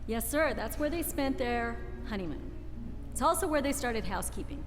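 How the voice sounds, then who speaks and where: A woman acting a kindly storyteller in a 1940s-style radio play, explaining the tale clearly to a listener. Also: fairly high pitch, lively intonation, moderate pace, natural voice. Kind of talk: scripted radio play narration. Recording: reverberant hall, music underneath.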